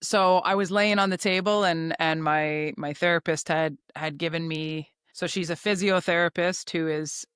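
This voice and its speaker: A woman's voice: fairly low pitch, steady tone, moderate pace, few pauses, a bit bright, smooth, dry recording, studio quality. A middle-aged woman from Canada, a podcast guest telling her story openly and explaining with examples. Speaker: interview guest. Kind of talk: candid podcast conversation.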